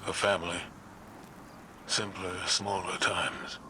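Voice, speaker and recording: Deep, slow, grieving veteran commander. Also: male voice, level tone, sharp tone, slight background noise, heavily acted. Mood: grieving, guilty. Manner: solemn, heavy, restrained. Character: grieving, weary veteran commander haunted by failure. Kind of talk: subdued dramatic game acting.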